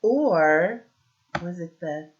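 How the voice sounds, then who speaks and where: Slow, low-voiced woman teaching young children. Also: lively intonation, lo-fi sound, heavy background noise. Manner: simple questioning, pausing for answers, encouraging. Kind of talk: read-aloud lesson with comprehension questions for young children.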